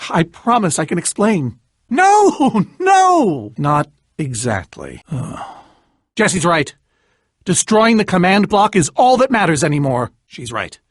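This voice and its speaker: Video game lead: a self-important, jittery adventurer, high-pitched and very animated, theatrical and wordy. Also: male voice, no echo, studio quality, heavily acted. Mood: panicked, pleading, then boastful, explanatory. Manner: wordy, theatrical, stammering when flustered. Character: boastful, nervous, evasive adventurer and self-styled leader. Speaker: voice actor in a story video game.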